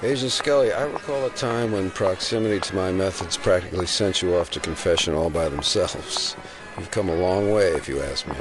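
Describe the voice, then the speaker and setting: A man's voice: sits low, fairly quick, lightly acted. An actor playing a wry, understated male federal agent in an audio drama set at a busy fair. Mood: wry teasing. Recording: loud fairground crowd and music.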